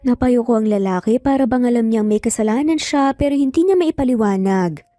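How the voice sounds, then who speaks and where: A woman's voice: on the high side, a little fast, warm tone, dry recording, studio quality, faint music behind. A woman with a Filipino accent narrating a dramatic scripted story.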